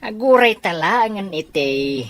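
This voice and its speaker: A man's voice: sits high, lively intonation, a little slow. An actor with an Ilocano Filipino accent playing an eager old grandfather-to-be in a radio drama. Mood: pleased. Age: older.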